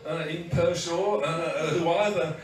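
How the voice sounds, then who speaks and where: A man with a hoarse, croaky voice gives an informal, halting launch speech with wry asides. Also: fairly high pitch, fairly even intonation. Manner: halting, many restarts and fillers, wry asides. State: hoarse, losing his voice. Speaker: guest speaker at a book launch. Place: event hall with audience. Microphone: PA microphone, heard from a distance. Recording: echoey hall recorded from far off.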